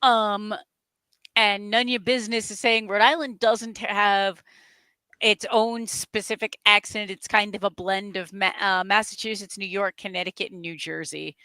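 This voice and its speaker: Bright-voiced chatty New England woman. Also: medium pitch, steady tone, moderate pace, smooth, no echo. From New England, near the New Hampshire border. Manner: chatty, stumbles and restarts often. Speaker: co-host. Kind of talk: casual chat on a live stream.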